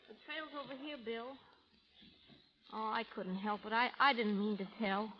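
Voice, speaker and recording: A woman's voice: a little high, normal speed, close to an everyday voice. An actress playing a fiery, devoted frontier woman in an old radio drama, with emotional, rustic Western speech. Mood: pleading, tender. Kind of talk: 1930s-40s radio drama acting. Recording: muffled vintage radio recording, music underneath.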